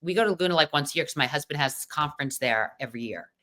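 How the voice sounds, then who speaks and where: Middle-aged woman, deep low voice, chatty guest who talks in loose restarts. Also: lively intonation, racing, bright, forceful tone. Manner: chatty, lots of fillers and restarts. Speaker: podcast guest. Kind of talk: casual chat.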